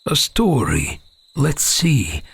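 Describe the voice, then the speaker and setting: A man's voice: medium pitch, big pitch swings, drawn-out words, gravelly, a bit bright, slightly rough, exaggerated voice. Man acting out tender, subdued merchant father. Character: gentle, sorrowful merchant father, tender with his daughter. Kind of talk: audiobook character acting.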